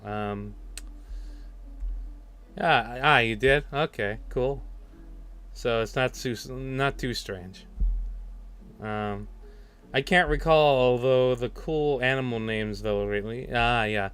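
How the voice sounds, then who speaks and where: A man chatting casually on a live work stream, animated and self-deprecating, talking to himself as he works. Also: medium pitch, slow, long pauses, clean tone. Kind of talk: casual work stream chat while video editing.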